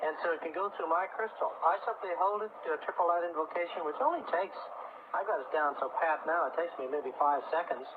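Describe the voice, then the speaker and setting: A man's voice: on the high side, fast talker. Man on tinny, very noisy old radio. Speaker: crystal expert, radio guest. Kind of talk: casual explaining on a call-in radio show. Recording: tinny, very noisy 1980s radio broadcast tape.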